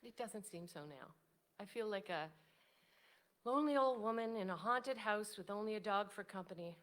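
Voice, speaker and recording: A woman's voice: medium pitch, expressive, moderate pace, a bit bright, lightly acted. A woman in a staged play reading, playing a lonely, modest old suffragist with an earnest, wistful delivery. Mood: lonely, regretful.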